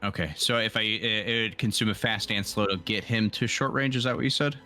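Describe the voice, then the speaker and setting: A man's voice: lowish voice, fairly even intonation, a little fast, sharp tone, soft background music. A man playing a tabletop game, casually asking about rules and describing what his character does. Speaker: player.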